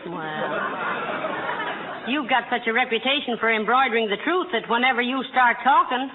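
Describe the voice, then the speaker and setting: A woman playing a patient, wry wife in a 1940s radio comedy, gently teasing and affectionate. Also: on the high side, moderate pace, a faint crowd, natural voice. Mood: soothing, teasing. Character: patient, wry wife gently deflating her boastful husband. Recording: narrow-band vintage radio, faint audience and noise.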